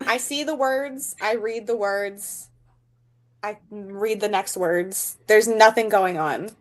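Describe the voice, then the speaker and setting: Female guest on a chat stream. Middle-pitched voice, casual conversational delivery. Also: slow pace. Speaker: guest on a book livestream.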